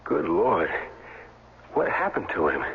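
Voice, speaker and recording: Plain-spoken ex-athlete stand-in: low voice, earnest, uneasy, informal, thin old radio-play sound. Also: male voice, huge pitch swings, slow, vocal fry, husky, phone-quality sound, heavily acted. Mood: wary, questioning. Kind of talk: 1970s radio drama acting.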